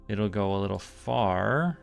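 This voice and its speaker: Low, gravelly Canadian man streaming. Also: animated, takes it slowly, soft background music. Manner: thinking aloud, talking himself through steps. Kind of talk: casual gameplay commentary.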